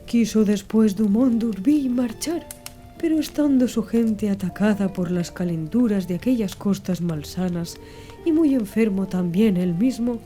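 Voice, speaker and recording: A woman narrating a literary audiobook in a measured, formal reading style. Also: mid-range voice, warm tone, soft background music.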